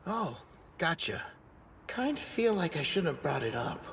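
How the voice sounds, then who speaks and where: A man voicing a timid, excitable teenage boy in an English anime dub, nervous and prone to stammering. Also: on the high side, unhurried, slight background noise, exaggerated voice. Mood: chatty. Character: timid, excitable teen boy, the lone sumo club member. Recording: dub with music score behind.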